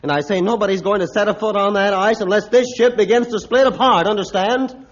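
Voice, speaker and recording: An actor playing a stern, authoritative ship's captain in a 1950s radio drama, speaking formally and emphatically. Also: male voice, high voice, brisk. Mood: firm. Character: stern, commanding male ship's captain, scornful of fools. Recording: dull, lo-fi old radio recording.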